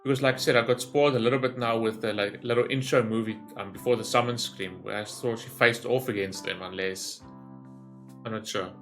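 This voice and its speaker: Bright-voiced man rambling over a game. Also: mid-range voice, fairly even intonation, brisk, soft background music. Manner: rambling, thinking aloud, swearing freely. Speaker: gaming streamer recording a reaction video. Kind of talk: casual gameplay commentary.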